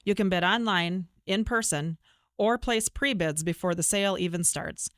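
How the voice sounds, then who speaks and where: A woman reading a scripted radio ad in a smooth, polished way. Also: lowish voice, moderate pace, dry recording, clean studio sound. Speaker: ad announcer. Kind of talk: scripted ad read.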